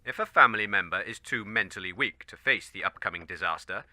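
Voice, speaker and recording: A man playing a deadpan, officious government announcer reading a scripted public warning broadcast. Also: fairly low pitch, fast, dry recording.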